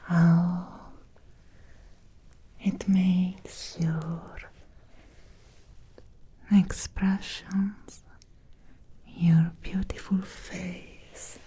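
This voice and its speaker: A woman voicing an obsessed, possessive Victorian vampire in a soft, deliberate ASMR roleplay whisper. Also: lowish voice, level tone, a little hiss or hum. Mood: intrigued, admiring.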